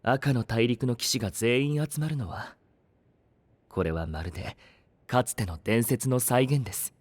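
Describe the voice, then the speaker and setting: Man acting refined, courteous young knight, mid-pitched. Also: a little slow, no room sound, lightly acted. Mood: composed, knowing. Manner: polite, composed, elegant formal speech. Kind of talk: anime voice drama acting.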